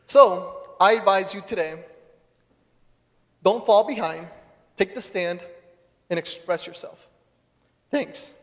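A young man with a high-pitched voice delivers a prepared speech. Long reverb from a large hall surrounds his words as he addresses the audience as a student leader. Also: slow pace, pauses often. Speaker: student group president.

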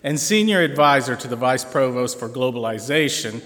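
A college president introducing award recipients at a convocation, measured and formal with a touch of humor. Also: male voice, mid-range voice, expressive, a bit bright. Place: large auditorium. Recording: reverberant auditorium.

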